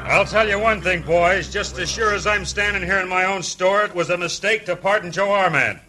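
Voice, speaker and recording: An actor playing a suspicious, blunt small-town sheriff in an old radio western, with a folksy American Western drawl. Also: male voice, sits high, moderate pace, pushed and bright. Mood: stern, forceful. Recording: noisy old radio show recording.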